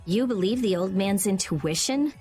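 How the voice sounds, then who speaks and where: A woman's voice: mid-range voice, animated, moderate pace, mellow, natural voice. An actress playing a cool-headed, caring young woman adventurer in a video game, with a frank delivery. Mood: skeptical. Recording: music under the voice.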